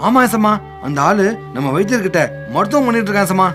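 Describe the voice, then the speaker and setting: A man playing a loyal, excitable servant in a Tamil radio drama, speaking deferentially and emphatically. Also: high-pitched, fast talker, music in the background. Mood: deferential, indignant.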